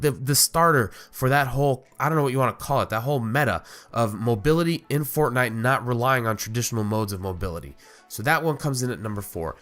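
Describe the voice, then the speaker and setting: A man's voice: mid-range voice, fairly bright tone. A man hosting a casual gaming commentary video, sounding nasal from a cold and rambling with lots of fillers and restarts. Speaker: gaming YouTuber host.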